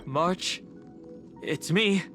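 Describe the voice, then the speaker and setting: An actor playing a reserved, polite young adventurer in an English game dub, musing to himself in a halting, introspective way. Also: male voice, high voice, a bit bright, soft background music, heavily acted. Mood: hesitant concern.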